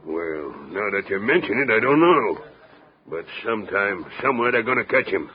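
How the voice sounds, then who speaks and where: A low-voiced, indignant barkeep. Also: male voice, sing-song, moderate pace. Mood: musing, menacing. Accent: American. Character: gruff, indignant male barkeep, folksy tough talker. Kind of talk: 1950s radio drama acting. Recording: muffled, noisy vintage radio recording.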